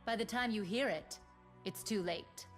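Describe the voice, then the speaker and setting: A woman's voice: mid-range voice, a little slow, soft background music, natural voice. A woman voicing a young elemental mage in a video game, with theatrical, teasing, punchy one-liners. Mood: intense. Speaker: game character voice. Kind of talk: game voice lines.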